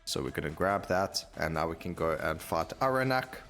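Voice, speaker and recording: Male gaming host, deep and gravelly, casual rambling play-by-play over light game music. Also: expressive, normal speed, a bit bright. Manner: rambling, thinking aloud mid-sentence. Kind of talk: casual gameplay commentary.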